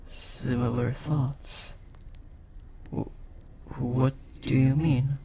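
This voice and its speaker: A man playing a devoted, lovestruck demon in an intimate audio roleplay, speaking formally, earnestly and with breathy hesitation. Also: medium pitch, level tone, extremely slow, warm tone, a little hiss or hum. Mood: hesitant, hopeful. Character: devoted, lovestruck powerful demon bound to a sorcerer.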